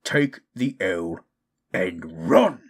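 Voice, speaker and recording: A man playing a gruff orc fighter with rough, colloquial speech in a fantasy audio drama. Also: low voice, very animated, drawn-out words, full character voice. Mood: stern. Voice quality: gravelly, husky.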